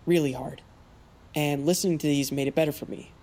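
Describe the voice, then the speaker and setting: Friendly teenage boy, bumbling, earnest, low-side voice, natural audio drama delivery. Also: fairly even intonation, a little fast, warm tone. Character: Evan, friendly, awkward, eager teenage boy.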